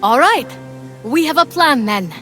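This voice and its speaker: A woman's voice: sits high, lively intonation, unhurried, bright, forceful tone, soft background music, heavily acted. A woman voicing an earnest, determined young heroine in a video game, often questioning and puzzled. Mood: eager. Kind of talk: animated video game voice acting.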